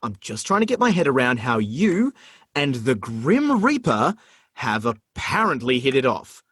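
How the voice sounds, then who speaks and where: A man's voice: medium pitch, big pitch swings, unhurried, fairly bright tone, slightly rough, no room sound, studio quality, exaggerated voice. An actor in a radio play playing a sardonic, protective brother in his twenties, theatrical and easily flustered. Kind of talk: radio play comedy acting.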